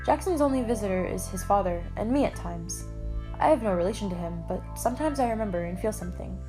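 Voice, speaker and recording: A woman's voice: medium pitch, expressive, fast talker, lightly acted. Woman playing a firm lodge sleuth, faint music. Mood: reflective. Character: firm, reflective female lodge worker turned sleuth. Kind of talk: amateur puppet show acting.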